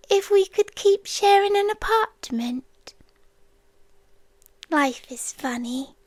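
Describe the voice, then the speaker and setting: Slow, high, soft British woman as a gentle creature. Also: no echo. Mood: relieved, shy. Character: ancient lonely female creature, tender, devoted, shyly protective. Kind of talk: whispery intimate ASMR roleplay. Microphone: close microphone, dry.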